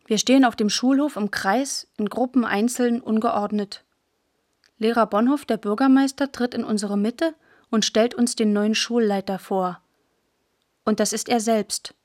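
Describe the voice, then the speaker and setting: A woman's voice: fairly high pitch, steady tone, measured pace, a little mellow, no echo, studio quality, natural voice. A woman narrating a literary radio play as a girl who remembers the war's end, observant and matter-of-fact, in short clipped sentences. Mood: sober, observant.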